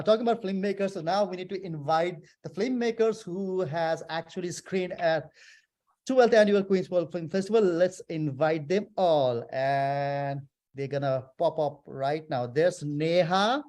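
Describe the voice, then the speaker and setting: A male host from Nepal speaks in a high, light voice. He runs a casual interview with playful, teasing questions. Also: unhurried, darkish tone.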